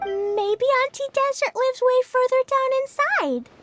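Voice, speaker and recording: A woman's voice: extremely high pitch, a little slow. A woman voicing a small, cheerful and impulsive young girl in a video game, talking in a bubbly, childlike way. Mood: chatty. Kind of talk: video game cutscene dialogue. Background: game music.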